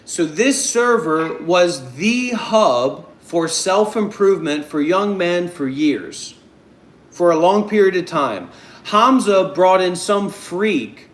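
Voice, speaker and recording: A man hosting a group voice call, speaking calmly and deliberately, often repeating himself to keep order. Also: high voice, reverberant. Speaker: host of a voice chat session.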